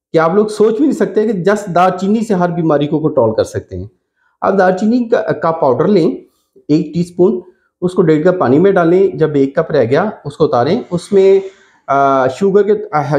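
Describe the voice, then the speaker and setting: Male doctor, Pakistani accent, fairly high voice. Informal health explainer, instructive, restates key points. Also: a little mellow. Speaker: doctor giving diet advice.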